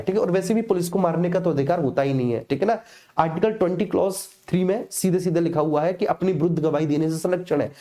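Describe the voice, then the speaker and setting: A man's voice: high voice, mellow. A man with a North Indian accent teaching a live online exam class in a colloquial, didactic way, often checking in with short tag phrases. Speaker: coaching teacher. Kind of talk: live online exam lecture with personal anecdotes.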